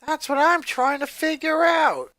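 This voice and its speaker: Woman as a feisty young girl, high-pitched. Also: unhurried, a bit bright, no echo. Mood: puzzled. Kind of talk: fan fiction character voice.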